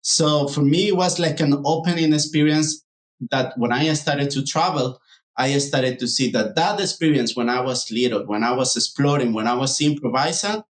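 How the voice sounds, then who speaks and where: A man's voice: mid-range voice, moderate pace. A man from El Salvador in his thirties, a podcast guest talking about growing up there, meandering and enthusiastic. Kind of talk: casual interview answers.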